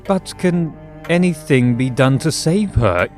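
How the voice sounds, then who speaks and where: A young Victorian gentleman, played by a male actor in an audio drama, loving but shaken. His delivery is formal and earnest, with lively swings in pitch and music playing behind him. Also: slow. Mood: nervous, polite. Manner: formal, earnest period phrasing. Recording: music under the dialogue.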